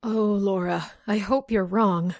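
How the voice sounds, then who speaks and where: A woman's voice: medium pitch, steady tone, slow pace, no room sound, studio quality. A woman playing a frightened, fraught heroine of an old-time radio horror play, hesitant and melodramatic. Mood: uneasy.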